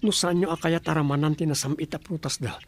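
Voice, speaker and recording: An actor playing an earnest, insistent young adult son in a radio drama, speaking with an Ilocano accent. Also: male voice, a little high, fairly even intonation, fast talker, lightly acted.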